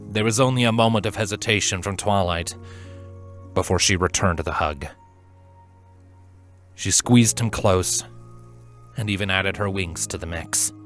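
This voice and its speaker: A man with a fairly low voice narrates a story earnestly and dramatically. He leaves deliberate pauses between phrases, and music plays underneath. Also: fairly bright tone. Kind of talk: expressive scripted fan fiction narration.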